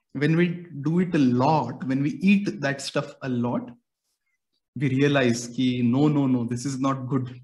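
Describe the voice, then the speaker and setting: A young man from India talks through his ideas in Indian-accented English, his first language being Marathi. His voice sits a bit higher than most men's. He explains patiently, like a teacher walking a student through everyday comparisons. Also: darkish tone. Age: young adult. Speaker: music educator. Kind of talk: explanatory interview answers.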